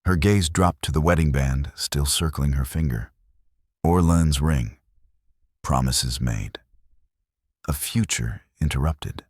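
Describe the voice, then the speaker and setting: A man's voice: extremely low pitch, takes it slowly, pauses often, a little mellow, no room sound, studio quality. A man narrating a scripted Western romance story in the manner of an audiobook.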